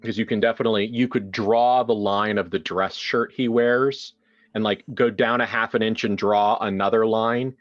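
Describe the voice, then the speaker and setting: A man's voice: lowish voice, steady tone, moderate pace, lo-fi sound. A man improvising narration for a tabletop roleplaying game in a casual way, thinking aloud as he goes. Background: music.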